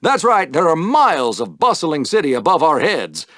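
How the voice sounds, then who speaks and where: An actor playing an exuberant, wry podrace announcer in a video game, with a showy, dramatic broadcast delivery. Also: male voice, on the high side, big pitch swings, normal speed, a bit bright, dry recording, studio quality. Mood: showy, scene-setting. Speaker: sports announcer. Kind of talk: theatrical sports commentary for a video game.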